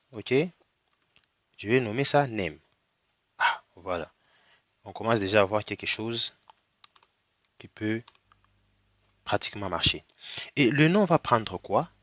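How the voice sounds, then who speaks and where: A man's voice: on the low side, sing-song, fairly bright tone, no echo. A man with a cold teaching a coding tutorial step by step, often checking in with "ok?". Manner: slow, step-by-step, frequent "ok?" checks. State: has a cold. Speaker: programming tutor. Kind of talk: screen-recorded coding tutorial.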